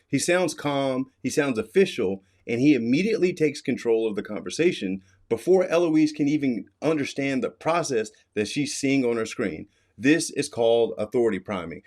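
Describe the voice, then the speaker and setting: A man's voice: medium pitch, animated, normal speed, hardly any pauses, a little mellow. A middle-aged American man giving an emphatic, instructive explainer commentary as an expert host. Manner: emphatic, instructive, repeats key warnings.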